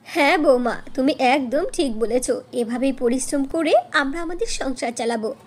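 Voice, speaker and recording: A woman's voice: sits high, normal speed. A woman voicing a caring older village mother-in-law in a cartoon, affectionate, fretful and emphatic. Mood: approving.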